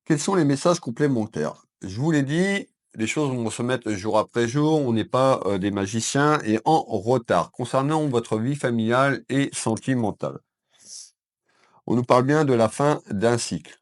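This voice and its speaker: A man from France with a head cold giving a calm weekend card reading to viewers from his consulting office. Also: mid-range voice, a little slow. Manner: calm, addresses viewers as "mes belles âmes", with frequent fillers. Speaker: psychic card reader. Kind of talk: weekend tarot reading to camera.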